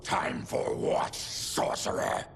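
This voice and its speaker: An actor voicing a stern, honorable monster warrior in a video game, with a gruff growl and blunt, terse delivery. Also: male voice, takes it slowly, a bit bright, slight background noise. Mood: defiant. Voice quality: gravelly, growling. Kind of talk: dramatic video game cutscene acting.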